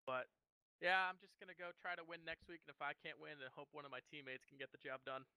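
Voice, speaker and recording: A man talking as a race driver in a post-race interview, halting and casual with many fillers. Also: a little high, clear, resonant tone, dry recording, highs cut off.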